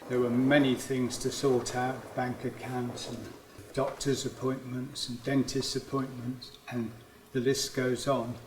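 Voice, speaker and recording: A man's voice: mid-range voice, level tone, unhurried, a little hiss or hum. A man speaking plainly and matter-of-factly about hosting refugees. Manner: matter-of-fact, plain-spoken. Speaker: refugee host. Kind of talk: casual conversation. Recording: roomy echo.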